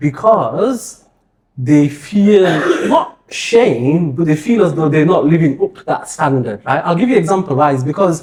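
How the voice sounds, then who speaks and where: A man co-hosting a casual podcast chat, lively and full of quick yeahs. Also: on the high side, reverberant. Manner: lively, lots of backchannel yeahs.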